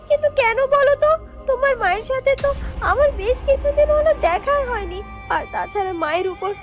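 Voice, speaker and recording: A woman voicing a gentle, wronged village girl in a fairytale cartoon, earnest and pleading, with halting repeated phrases. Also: very high voice. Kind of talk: Bengali fairytale cartoon voice acting.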